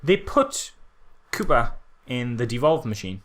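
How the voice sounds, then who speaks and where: A man's voice: medium pitch, sing-song, a little slow, fairly bright tone. A man co-hosting a podcast, critiquing a film in casual chat with an exasperated, sarcastic manner. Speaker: podcast co-host.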